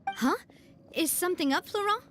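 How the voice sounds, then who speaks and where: A caring young female boss from a video game. Her high voice is earnest, expressive and decisive, with soft music behind it. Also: moderate pace. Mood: urgent concern.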